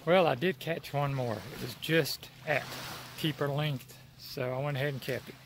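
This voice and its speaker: A man's voice: mid-range voice, normal speed, vocal fry. A middle-aged man with a Texan accent, chatting casually on a fishing vlog while driving and at a lakeshore. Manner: relaxed, plain-spoken, thinking aloud. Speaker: fishing vlogger. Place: in a car and outdoors at a windy lakeshore. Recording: noisy car and wind background.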